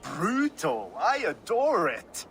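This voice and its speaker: An exuberant verse-duel rival. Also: male voice, a little high, huge pitch swings, slow pace, fairly bright tone, soft background music, heavily acted. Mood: delighted. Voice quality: exuberant, theatrical exclamations. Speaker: game character.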